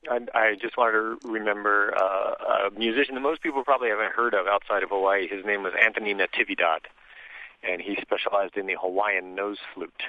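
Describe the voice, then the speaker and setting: Low-voiced male caller on phone. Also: steady tone, a little fast, no echo. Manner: hesitant, warm about his subject, repeats words. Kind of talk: phone-in call. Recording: tinny phone line.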